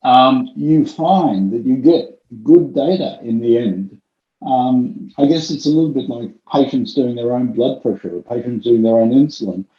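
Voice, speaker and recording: A vision scientist telling a story in a conversational interview, with frequent ums and restarts. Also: male voice, mid-range voice, moderate pace, echoey room, muffled recording.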